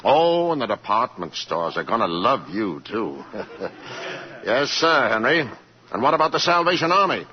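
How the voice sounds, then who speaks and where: A man's voice: medium pitch, sing-song, normal speed, natural voice. A man playing a blunt, wry, needling political adviser in a 1940s radio drama. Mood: sardonic. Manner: sardonic, needling. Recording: dull 1940s radio with audience.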